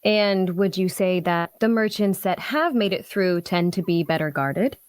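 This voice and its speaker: A woman's voice: lowish voice, animated, a little slow, clean tone, no echo. A woman playing an astute, courteous adventurer for hire in a fantasy audio drama, speaking politely and analytically. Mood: polite, inquiring. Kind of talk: audio drama table-read dialogue.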